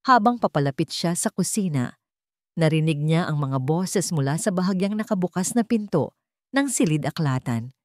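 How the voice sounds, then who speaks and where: A woman's voice: lowish voice, animated, moderate pace, no echo. A woman with a Filipino accent narrating a scripted dramatic story.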